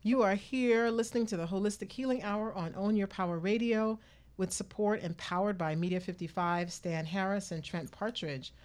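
A woman's voice: medium pitch, fairly even intonation, normal speed, darkish tone. A woman hosting a talk radio show, chatting casually with co-hosts and listeners.

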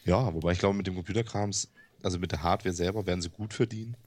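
A man co-hosting a casual tech podcast over an internet call, talking quickly as he explains things. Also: deep voice. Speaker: podcast co-host. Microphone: headset over a Skype call.

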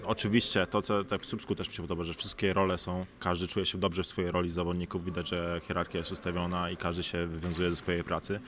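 A man's voice: low voice, little pitch movement, quick pace, hardly any pauses, fairly bright tone. A man, explaining things thoughtfully as an athlete being interviewed, often adding small tag questions. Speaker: professional basketball player, interview guest. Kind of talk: analytical sports interview talk. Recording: clearly noisy background ambience.

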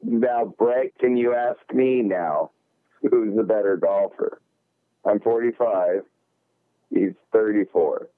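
A man's voice: fairly low pitch, slow pace, no echo. A man in his forties, calling in to the show and trading profane, joking banter. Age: 40s. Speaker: regular co-host on a call-in. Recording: tinny phone line.

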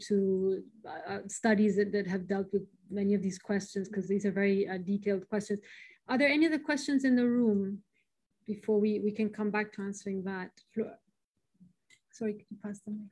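Woman moderating a seminar, medium pitch. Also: darkish tone. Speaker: session presenter and moderator.

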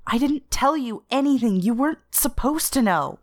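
A woman reader playing an anguished, desperate woman in an audio story, speaking urgently and haltingly with raw emotion over a lost love. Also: high-pitched, lively intonation, pitched above the performer's own voice. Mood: shaken, bewildered. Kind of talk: dramatic audio fiction reading.

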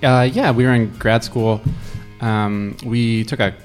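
A man telling personal stories as a guest, casual and conversational, in a hesitant, halting way. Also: medium pitch, fairly even intonation, soft background music. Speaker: storytelling guest on an improv show.

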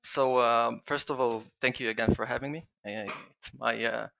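An Israeli-accented man speaks as a podcast guest, his voice gravelly with fry. He talks eagerly, stopping and restarting often. The sound is dull and lo-fi. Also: medium pitch, steady tone, moderate pace, a bit bright. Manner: enthusiastic, hesitant with many fillers and restarts. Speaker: guest software developer. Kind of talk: podcast interview.

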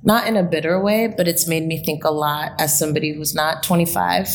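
A woman's voice: sits low, steady tone, fairly quick, slight background noise. A woman in her late thirties, a guest telling a story in a reflective, conversational way with frequent fillers. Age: late 30s. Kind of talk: conversational interview.

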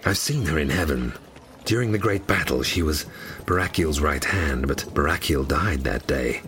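An actor playing a sly, brooding fallen demon in an audio drama, menacing and sardonic. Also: male voice, extremely low pitch, animated, moderate pace, fairly bright tone, slight background noise. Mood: irritated, sardonic.

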